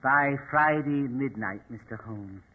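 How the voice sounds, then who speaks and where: An actor in a 1940s radio drama playing a sinister, smugly courteous mortician with a formal, unctuous, deliberate manner. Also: male voice, mid-range voice, natural voice. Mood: politely menacing. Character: sinister, politely menacing male mortician, smugly courteous henchman. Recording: tinny, band-limited old radio recording.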